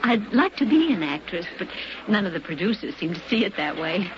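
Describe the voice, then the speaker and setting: Through a muffled, noisy old radio recording comes an actress playing a gentle young woman resigned to her fate. Her mid-pitched voice is halting and wistful, in vintage radio drama style. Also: big pitch swings, natural voice. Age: 20s.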